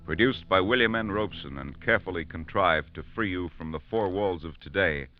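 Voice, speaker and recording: A man's voice: low-pitched, fairly quick. A male announcer declaiming an emphatic radio show introduction. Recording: dull 1940s radio broadcast over music.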